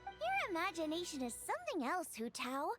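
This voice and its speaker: A woman playing a friendly young girl cook in a video game, teasing and expressive. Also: extremely high pitch, moderate pace, over loud music, full character voice. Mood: amused skepticism. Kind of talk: scripted game dialogue.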